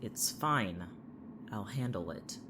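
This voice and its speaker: A man's voice: fairly low pitch, expressive, slow pace, background noise. A young man acting in an audio drama as a sarcastic, irritable young man trapped in a horror town, dry and defiant. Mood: guarded, sarcastic. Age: young adult.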